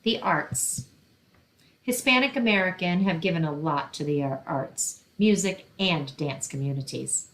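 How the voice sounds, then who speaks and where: A woman's voice: deep voice, fairly bright tone, poor audio. A woman reading a picture book aloud and explaining things simply for children, as a librarian hosting story time.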